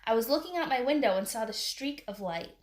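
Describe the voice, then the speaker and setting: A woman's voice: a little high, expressive, fairly quick, natural voice. Woman reading as a confident young storybook girl. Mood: insistent.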